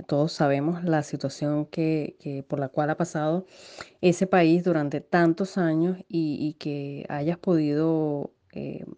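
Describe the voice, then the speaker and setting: A woman's voice: deep voice, warm tone, lo-fi sound. Hesitant Venezuelan woman podcast host. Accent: Venezuelan Spanish. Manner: hesitant, many fillers. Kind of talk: podcast interview. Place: home setup, recording at night.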